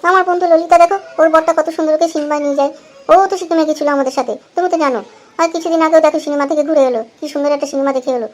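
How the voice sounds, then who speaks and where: Casting: woman as whiny cartoon wife, extremely high childlike pitch, brisk chatter, easily annoyed. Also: steady tone, close to an everyday voice. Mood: pleading. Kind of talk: amateur comedy cartoon dialogue.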